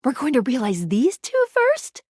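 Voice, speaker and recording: A woman playing a bubbly, nervous, playful young female guide in a video game, with theatrical, expressive delivery. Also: high-pitched, a little slow, breathy edge, no room sound, clean studio sound, heavily acted. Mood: eager, cheerful. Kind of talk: animated video game voice acting.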